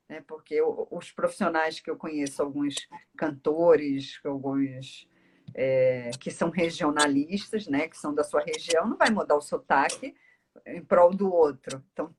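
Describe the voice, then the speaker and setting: A Brazilian woman talks freely and at length, explaining things in a casual conversational way. Her pitch sits in the middle for a woman. There is some faint noise and clicking behind her voice. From Northeast Brazil. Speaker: speech therapist, interview guest.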